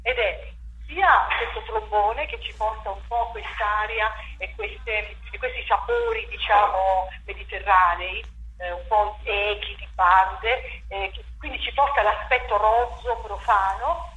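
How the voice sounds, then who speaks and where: A woman playwright and director giving an interview about her stage play, talking in an animated way and restarting her sentences often as she thinks aloud. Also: mid-range voice, measured pace, lo-fi sound. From Italy. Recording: echoey room with faint noise.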